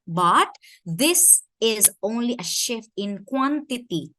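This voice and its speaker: A woman from the Philippines with a Filipino accent, giving an academic conference talk in a measured way and slowing down to stress key phrases and questions. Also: fairly high pitch, expressive, pushed and bright, a little hiss or hum. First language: Filipino language. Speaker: conference speaker.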